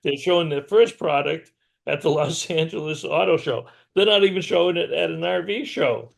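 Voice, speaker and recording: A man's voice: fairly high pitch, moderate pace, vocal fry. A man from New England with an American accent, co-hosting a casual RV news show in an easy conversational style. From New England, USA.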